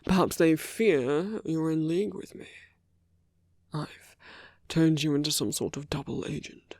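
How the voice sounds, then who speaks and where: A performer playing a suave male villain in a romantic ASMR roleplay, speaking in hushed, deliberate phrases with pauses. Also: mid-range voice, dry recording, clean studio sound. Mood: persuasive, concerned. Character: suave male villain urging a captured hero to flee.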